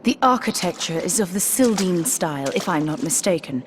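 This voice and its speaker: An actress playing a composed, learned woman in a video game cutscene, speaking in a measured, formal way. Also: fairly low pitch, expressive, a little hiss or hum, natural voice. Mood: thoughtful.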